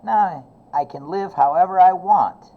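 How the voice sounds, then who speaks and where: A man's voice: high-pitched, measured pace, phone-quality sound, voice pushed up. A man voicing a young storybook boy with an easygoing but easily exasperated temper. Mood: carefree, pleased. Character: young boy, easygoing then fed up with mess.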